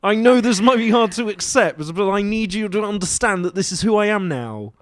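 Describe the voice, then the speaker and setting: A man acting as an earnest young son who proudly declares a new identity, in a theatrical, drawn-out comedy reading. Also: high voice, lively intonation, no echo, voice pushed up. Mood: proud, pleading.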